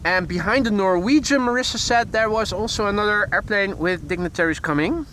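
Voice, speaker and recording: A man's voice: high-pitched, fairly quick, sharp tone. A man with a Dutch accent hosting a live plane spotting stream outdoors, chatty and correcting himself as he goes, with dry little jokes. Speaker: plane spotting livestream host. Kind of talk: live commentary. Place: outdoors beside a field near a runway. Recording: faint background noise outdoors. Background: outdoor ambience, vehicles.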